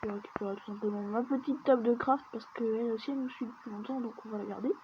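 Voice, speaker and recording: Woman, higher-pitched voice, casual gameplay commentary, mutters and half-whispers, dull lo-fi mic, steady hiss behind. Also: quick pace. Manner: chatty, mutters to herself while playing, often drops to a near-whisper. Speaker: gaming video creator. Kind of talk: casual let's-play commentary.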